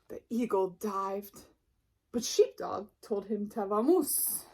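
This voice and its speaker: A woman reading a children's picture book aloud as narrator, expressively and deliberately, with dramatic pauses. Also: mid-range voice, animated, darkish tone, breathy edge. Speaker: storybook reader.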